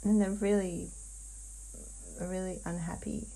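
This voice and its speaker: A woman describing a trance vision in a dreamlike way as a guided hypnosis client, halting and hushed, with long pauses. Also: on the low side, level tone, rough recording.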